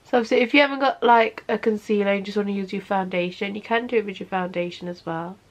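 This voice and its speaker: A woman's voice: medium pitch, fairly even intonation, fast. A woman, sniffly, talking through a makeup tutorial in a casual, chatty way from her bedroom. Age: 20s. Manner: chatty, keeps saying "obviously". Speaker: makeup vlogger. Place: bedroom, sitting on a bed.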